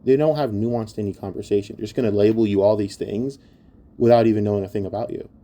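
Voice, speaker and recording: A man's voice: lowish voice, steady tone, fast talker, dark tone. A man giving an opinionated commentary rant in a blunt, exasperated and scornful manner, swearing heavily. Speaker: online commentator.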